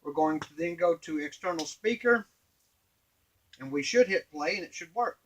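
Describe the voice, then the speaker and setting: High-pitched middle-aged man demonstrating gear. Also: animated, moderate pace, lo-fi sound, slight background noise. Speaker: device maker and demonstrator. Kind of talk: informal hands-on product tutorial. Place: small indoor workshop at a workbench. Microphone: camera a few feet away.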